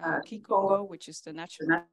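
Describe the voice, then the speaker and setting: A young woman from the Netherlands giving a conference talk in an earnest way, often pausing with fillers. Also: lowish voice, steady tone, a crowd in the background. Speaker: conference speaker. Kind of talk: presentation talk. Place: conference hall with audience.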